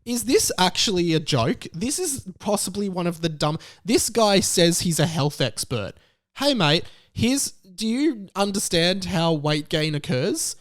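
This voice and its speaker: A man's voice: high voice, big pitch swings, a little slow, dry recording, studio quality. A young man with an Australian accent giving emphatic, exasperated, comically indignant commentary. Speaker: YouTube commentator. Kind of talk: ranting commentary on a news story.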